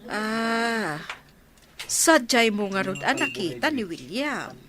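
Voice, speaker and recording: A woman's voice: on the high side, sing-song, takes it slowly, crowd noise. A woman playing an inquisitive, reflective older woman with an Ilocano accent in a radio drama.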